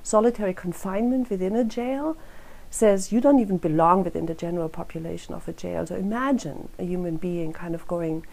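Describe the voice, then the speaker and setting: A middle-aged woman with a German accent, the director of a crime prevention council giving an earnest, reflective speech at a community rally, pausing often on "uh". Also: on the low side, vocal fry, darkish tone, poor audio. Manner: earnest and reflective, with frequent "uh" pauses.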